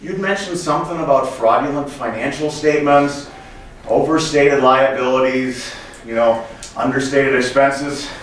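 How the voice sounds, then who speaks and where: A man's voice: mid-range voice, moderate pace, natural voice. A man playing a scheming corporate finance chief in a comedy skit, chummy and coy, hinting hesitantly and feigning innocence. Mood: sly. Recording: echoey room, distant mic, background noise.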